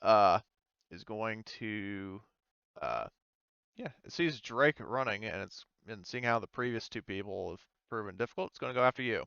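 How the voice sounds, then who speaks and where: A man's voice: fairly low pitch, animated, moderate pace, gravelly, fairly bright tone, smooth, no echo, muffled recording. A man acting as game master in a casual tabletop roleplaying session, hesitant and thinking aloud as he checks rules.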